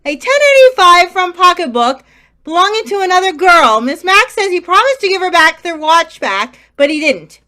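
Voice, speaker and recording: A woman playing an earnest police inspector, brisk and emphatic, in an old-time radio crime drama. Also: extremely high pitch, big pitch swings, sharp tone, full character voice. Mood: earnest, businesslike. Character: earnest police inspector and pleading robbery victim.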